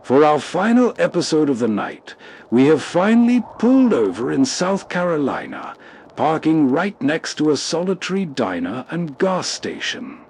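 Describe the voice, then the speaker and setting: A man's voice: on the high side, big pitch swings, moderate pace, a little mellow, breathy edge. A man hosting with scripted, cozy bedtime narration. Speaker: host.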